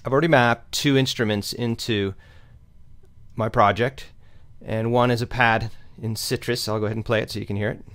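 A man presenting a step-by-step software tutorial in an explanatory, instructional way. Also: medium pitch, fairly even intonation, moderate pace.

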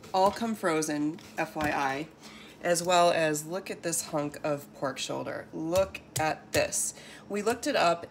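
An American woman around forty chats enthusiastically and emphatically to camera, like a home cook showing off her groceries in a casual vlog. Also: low-pitched. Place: small home kitchen, indoors. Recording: clearly noisy home room recording.

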